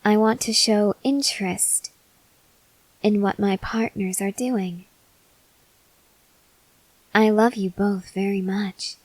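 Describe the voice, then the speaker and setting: Female vampire lover for ASMR roleplay, played by a woman: possessive, softly threatening, unhurried delivery with whispered touches, dry close-mic sound. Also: medium pitch, fairly even intonation, dry recording, poor audio. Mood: probing, affectionate. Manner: slow, measured, intimate, with breathy whispered moments. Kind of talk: ASMR roleplay acting, addressed to the listener.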